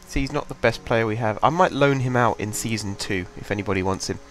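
A man's voice: fairly low pitch, fast. A young man with a head cold, chatting casually as he commentates his own game at a home desk mic, rambling and thinking aloud. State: has a cold, congested. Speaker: gaming channel host.

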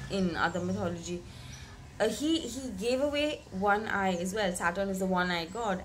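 A young woman, slightly hoarse from a cold, giving a reflective weekly tarot reading to camera in a small room, often repeating words for emphasis. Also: fairly high pitch, slight background noise, rough recording. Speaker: tarot reader. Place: bedroom with curtained window, indoors.

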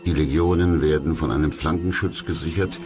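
A man's voice: a bass voice, fairly even intonation, a little fast. A man reading scripted documentary narration as the narrator. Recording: loud music under the voice. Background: loud background music.

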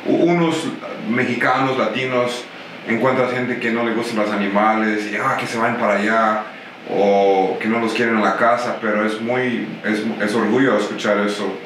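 Low male interviewer, hesitant, heard from off-mic in a very echoey room. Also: level tone, normal speed, a bit bright. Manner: hesitant, many fillers. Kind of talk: interview questions. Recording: distant roomy off-camera voice with faint noise.

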